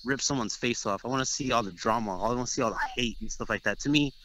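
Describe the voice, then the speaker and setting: A young man from Texas chats brashly about competition in an interview, swearing freely and boasting. His voice is bright and pushy, sitting at an ordinary pitch for a man. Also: fast, low-quality recording. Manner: brash, swearing, trash-talking. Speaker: guest player. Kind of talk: casual interview chat.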